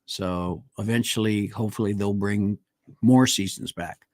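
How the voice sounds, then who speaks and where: A man's voice: on the low side, fairly even intonation, measured pace, darkish tone, dry recording. Hesitant man, dry close mic. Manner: hesitant, many fillers, loses names. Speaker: co-host. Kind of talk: casual chat. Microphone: studio mic on a live stream.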